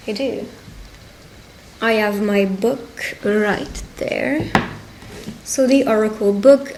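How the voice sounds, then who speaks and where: A woman speaking conversationally and encouragingly as a witch giving a personal love reading, pausing to interpret as she goes. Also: medium pitch, background noise. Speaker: tarot reader and roleplay video host. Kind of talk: intimate roleplay fortune-telling addressed to the listener.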